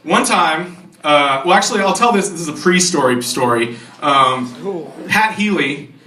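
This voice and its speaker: Higher-voiced man in an echoey room. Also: expressive, measured pace, bright, forceful tone. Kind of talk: casual spoken anecdote to a group. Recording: roomy echo, distant microphone.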